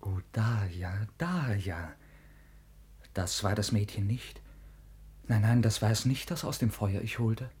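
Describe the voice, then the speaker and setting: An actor playing an impulsive, lovestruck young knight in classical radio drama, halting and urgent. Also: male voice, lowish voice, a little mellow, slightly rough. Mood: stunned, rapt. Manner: urgent, halting, repeats himself.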